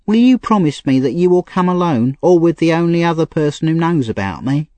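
A man's voice: expressive, brisk, a little mellow, no echo, lightly acted. A man voicing a frightened, tearful young woman thief in a storybook reading, pleading and urgent. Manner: pleading, urgent and high-strung. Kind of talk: audiobook character reading.

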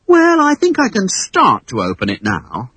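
A man doing an excitable boy, eager and impatient, raised pitch, husky, dry sound, storybook hero. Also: moderate pace, no room sound, exaggerated voice.

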